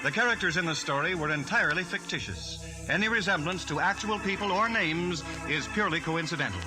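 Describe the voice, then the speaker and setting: A man's voice: a little high, brisk, poor audio. A man announcing in a scripted 1940s network radio style. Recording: old radio recording, loud music and crowd noise.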